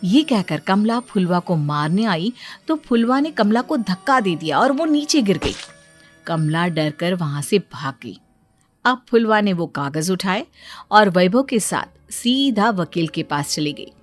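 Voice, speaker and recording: A woman's voice: mid-range voice, sing-song, a little slow, faint music behind. A woman reading scripted narration for a cartoon bedtime story as its storyteller.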